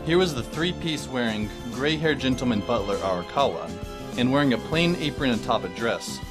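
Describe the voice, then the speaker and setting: A man narrating an audio drama as a wry, deadpan teenage boy. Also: mid-range voice, moderate pace, over music, natural voice.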